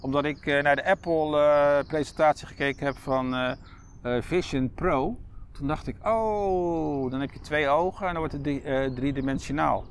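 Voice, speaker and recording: A man's voice: fairly high pitch, moderate pace. Rambling man on a noisy recording. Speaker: podcast co-host. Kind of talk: casual chat.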